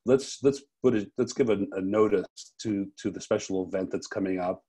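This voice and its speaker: A man hosting an unscripted interview, speaking haltingly and rephrasing his questions as he goes. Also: lowish voice, mellow, breathy edge. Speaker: interviewer and festival host.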